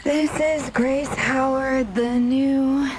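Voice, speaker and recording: A machine-mad young engineer. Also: female voice, sits high, drawn-out words, noisy background. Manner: excited, dreamy and breathless about machines. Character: eccentric young woman engineer obsessed with machines. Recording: game audio under loud music.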